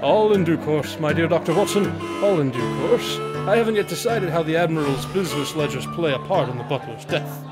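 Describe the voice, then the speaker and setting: A man's voice: a little high, expressive, fairly quick, hardly any pauses, over loud music, natural voice, rough recording. A man playing a shrewd, self-assured detective in a radio drama, speaking formally with a wry, faintly condescending manner. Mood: wry, pensive. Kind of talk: scripted radio drama acting.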